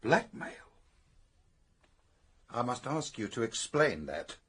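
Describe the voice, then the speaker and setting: An actor playing a brisk, sceptical British police investigator in a radio mystery, formal and direct. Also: male voice, mid-range voice, big pitch swings, a bit bright, breathy edge, full character voice. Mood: stern.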